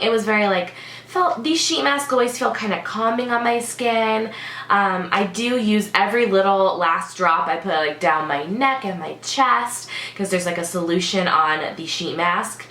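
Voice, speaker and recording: A woman's voice: medium pitch, fairly bright tone, reverberant, slight background noise. A woman beauty vlogger with a congested, sick-sounding voice, chatting casually through a product review with lots of "like" and "um". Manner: chatty, many "like" and "um" fillers.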